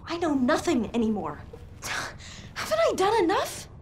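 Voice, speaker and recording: An actress playing an embittered, defiant young woman in a dramatic video game, questioning in a sharp, confrontational way. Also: extremely high pitch, wildly swooping pitch, a little slow, full character voice. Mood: bitter, weary. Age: young adult.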